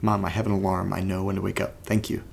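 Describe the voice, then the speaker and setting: A man playing an uneasy, reluctant character in a horror audio drama, speaking haltingly in a naturalistic monologue, as if muttering to himself. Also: low voice, little pitch movement, vocal fry, slight background noise. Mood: uneasy, subdued. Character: uneasy, reluctant man exploring a creepy place and reading strange letters. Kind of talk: naturalistic found-recording audio drama monologue, partly reading a letter aloud.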